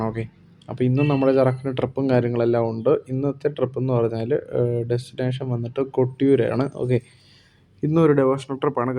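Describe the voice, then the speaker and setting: Male vlogger from Kerala, rapid monotone, rambling casual narration full of fillers. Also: mid-range voice. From Kerala, India. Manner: rambling, heavy on fillers like "okay" and "and things". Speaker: vlogger narrating a bus-business roleplay. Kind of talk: casual first-person vlog narration.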